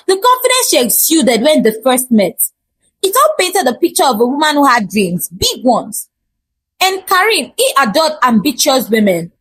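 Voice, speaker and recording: A woman telling a scripted folktale in an expressive, theatrical and humorous storytelling style. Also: sits high, dry recording, poor audio.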